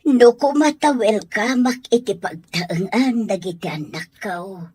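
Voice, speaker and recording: A woman with an Ilocano accent acting an older mother in a radio drama, with a slightly husky voice. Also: medium pitch, unhurried, darkish tone. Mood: tender, wistful.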